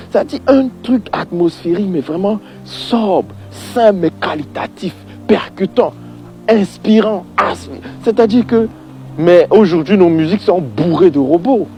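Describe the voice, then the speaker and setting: Animated high-pitched man giving his opinion. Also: measured pace, soft background music. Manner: emphatic, repeats phrases, conversational. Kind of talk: informal opinion monologue to viewers.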